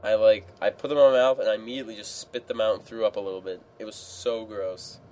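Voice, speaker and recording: A man's voice: fairly low pitch, steady tone, fast talker, crowd noise. A teenage man hosting a casual group podcast, rambling with lots of fillers. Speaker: podcast host. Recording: noisy home recording.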